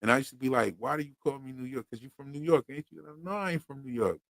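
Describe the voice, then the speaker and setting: A man with a Philadelphia accent hosts a relaxed livestream, talking in easy slang with lots of fillers. His mid-range voice carries a gravelly edge, and the sound is close and dry. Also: medium pitch, quick pace, no room sound. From Pennsylvania. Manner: laid-back slangy talk with frequent fillers. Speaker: podcast host. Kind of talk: casual livestream chat.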